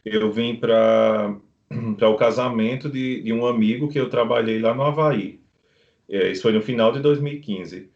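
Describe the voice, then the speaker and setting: Brazilian Portuguese man, middle-aged, rambling storyteller, mid pitch, muffled lo-fi call. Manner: unhurried, rambling anecdotes. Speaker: guest, beekeeper living abroad. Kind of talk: casual interview storytelling. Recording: dull lo-fi call audio.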